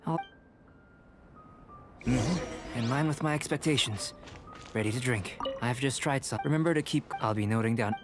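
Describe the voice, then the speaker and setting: An actor playing a calm, detached young male alchemist in a video game, speaking in a measured, scholarly way. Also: mid-range voice, music in the background, natural voice. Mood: composed, clinical.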